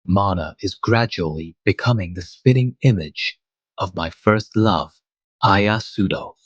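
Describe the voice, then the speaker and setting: A man playing a mild, wistful adult guardian, narrating a manga dub in a measured, reflective way. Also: sits low, breathy edge, no room sound, dull audio. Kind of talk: scripted first-person manga dub narration.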